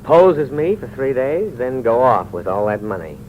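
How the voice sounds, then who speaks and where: A man's voice: medium pitch, very animated, moderate pace, natural voice. A man playing a taunting rich man with a dry wit. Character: mocking, wealthy man with a weak heart. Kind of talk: 1950s radio drama acting. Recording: dull, noisy old radio recording.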